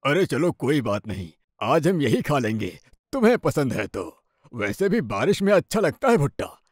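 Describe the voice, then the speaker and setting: Man doing nice sasur in a cartoon story, indulgent, animated pitch, middle aged, dry recording. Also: moderate pace, no room sound. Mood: soothing, indulgent. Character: kindly, indulgent middle-aged father-in-law. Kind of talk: animated moral story dialogue.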